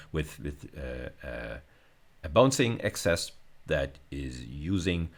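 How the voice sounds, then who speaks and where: A man giving a technical video lecture as a university lecturer, explaining things hesitantly with frequent pauses. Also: rumbling low.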